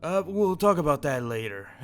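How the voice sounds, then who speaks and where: A man's voice: a little high, sing-song, a little slow, a bit bright, smooth, faint music behind. A man improvising a school headmaster in a game roleplay, explaining things at length in a chatty way.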